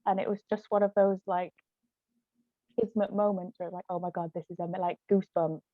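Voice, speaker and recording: A woman's voice: lowish voice, flat delivery, fairly quick, no room sound, narrow, tinny audio. A woman chatting casually and animatedly as she tells anecdotes in an interview, giggly and peppering her talk with "like". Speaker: author and stage actress, interview guest.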